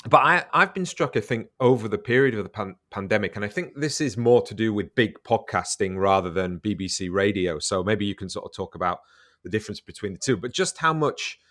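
Low-voiced man host, rambling and thoughtful. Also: animated, fairly quick, fairly bright tone, no room sound, studio quality. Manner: thoughtful, rambling, repeats words while thinking. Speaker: podcast host, interviewer. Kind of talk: casual interview chat.

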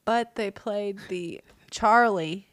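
A woman's voice: medium pitch, slow pace, no room sound. A woman co-hosting a true crime podcast in casual chat, congested and laughing often. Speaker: podcast co-host.

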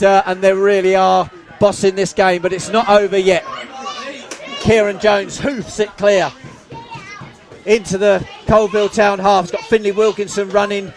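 A man giving live play-by-play football commentary outdoors, excitable and losing his voice. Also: sits high, moderate pace. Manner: excitable, shouts at goals, self-mocking. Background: crowd noise.

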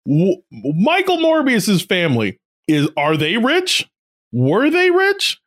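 Podcast co-host, male, high and animated, unhurried, halting explainer. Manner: animated, laughs often, explains points in halting restarts. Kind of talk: casual comedic movie-riffing chat.

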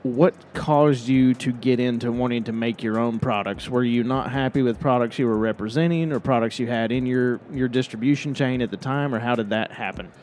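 A man's voice: medium pitch, a little mellow, slight background noise. Easygoing male podcast host. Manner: easygoing, quick affirmations. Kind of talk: casual interview chat. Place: live stage at a trade expo.

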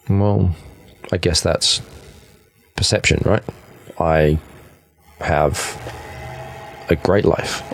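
Deep-voiced frank New man. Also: slightly rough, no echo. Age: 40s. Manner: frank, reflective, blunt wording. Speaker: podcast guest. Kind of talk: casual podcast chat.

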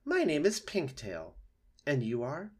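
A man's voice: fairly high pitch, wildly swooping pitch, measured pace, husky, pitched above the performer's own voice, full character voice. A man voicing a chatty, friendly female opossum with a theatrical, exaggerated delivery for a storybook read-aloud. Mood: excited, dramatic.

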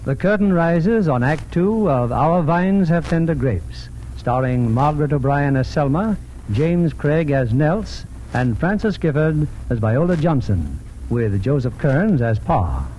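A man's voice: on the high side, expressive, a little slow, mellow, a little hiss or hum, rough recording. A man narrating as presenter of a radio drama in theatrical 1940s style. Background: music.

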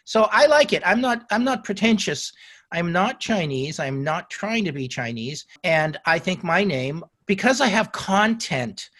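Guy in his 60s rambling thru his career story in an interview, bit higher voice, lots of restarts. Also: very animated, measured pace, fairly bright tone. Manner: storytelling, self-correcting restarts. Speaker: guest. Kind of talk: interview answers.

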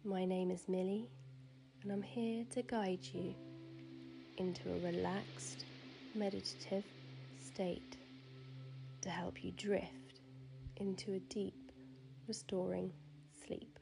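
Slow, soft, soothing woman guiding sleep meditation. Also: mid-range voice, fairly even intonation, gravelly, low-quality recording. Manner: soothing, hushed, with drawn-out pauses. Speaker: meditation guide. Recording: piano music and waves behind. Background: relaxing piano music and ocean waves.

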